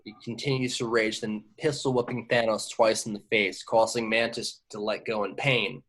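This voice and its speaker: A man's voice: lowish voice, fairly even intonation, normal speed, a bit bright, rough recording. A man reading scripted action descriptions at an amateur table read, now and then stumbling and repeating words. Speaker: stage directions reader.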